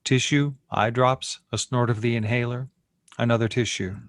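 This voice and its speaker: A man's voice: medium pitch, fairly even intonation, moderate pace, no room sound. A man reading stage directions as narrator of a screenplay table read, in clipped, matter-of-fact phrases.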